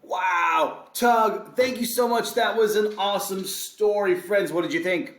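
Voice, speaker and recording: A man's voice: high-pitched, a little slow, fairly bright tone. A man hosting a children's reading show, talking casually and upbeat to young viewers. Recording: echoey, roomy sound.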